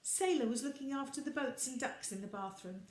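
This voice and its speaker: A woman reading a picture book expressively to children, animated and adding little asides for her young listeners. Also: sits high, fairly quick. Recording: echoey room with background noise.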